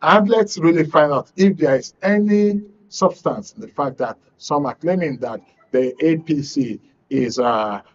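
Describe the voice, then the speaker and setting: A man's voice: medium pitch, husky. Nigerian man hosting, slightly muffled sound. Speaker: radio and TV talk show host. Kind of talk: current affairs programme presenting. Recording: dull lo-fi broadcast sound.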